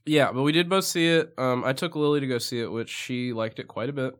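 A man co-hosting a casual movie review podcast, hoarse and losing his voice, talking in a rambling, hesitant way and laughing often. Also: medium pitch, brisk, fairly bright tone. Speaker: podcast co-host.

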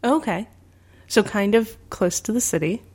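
A woman's voice: mid-range voice, a little slow, darkish tone. A woman hosting a podcast interview, asking questions in a friendly conversational way. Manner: conversational, affirming, light laughs. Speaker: podcast host.

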